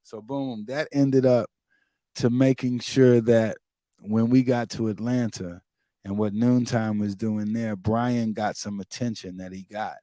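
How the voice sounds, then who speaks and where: A man from the Bahamas, a music producer and DJ, telling stories in a relaxed, casual interview and acting out the voices he quotes. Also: lowish voice, unhurried, no echo. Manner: relaxed storyteller, acts out quoted voices, drops to quiet asides.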